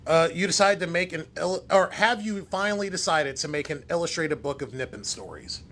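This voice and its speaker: A man's voice: on the high side, fairly quick, fairly bright tone. A man hosting a casual livestream interview, asking playful, teasing questions. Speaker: livestream host.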